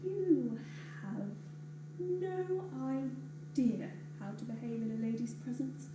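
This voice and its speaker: A woman's voice: a little high, takes it slowly. An actress playing a prim, haughty widowed lady who scolds in stiff, formal speech, in a stage play. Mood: indignant. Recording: echoey hall, distant mic, hiss.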